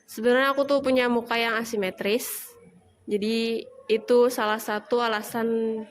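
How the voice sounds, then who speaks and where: A woman's voice: fairly high pitch, fairly even intonation, moderate pace. A twenty-year-old woman talking casually in an interview through a face mask. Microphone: speaking through a face mask.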